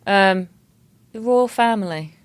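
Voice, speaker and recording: A woman's voice: medium pitch, slow, smooth, dry recording. A woman in her twenties with a South East London accent, chatting casually as a podcast guest, repeating words and laughing often. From England. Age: 20s. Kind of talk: casual interview chat.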